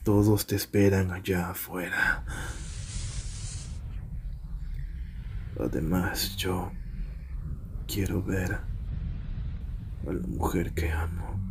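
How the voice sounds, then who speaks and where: A man's voice: fairly low pitch, fairly even intonation, unhurried, background noise, natural voice. A man with a Latin American Spanish accent, in an anime fan dub, playing a brash, stubborn, weary warrior. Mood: weary, wistful. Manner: brooding muttering that erupts into strained shouts.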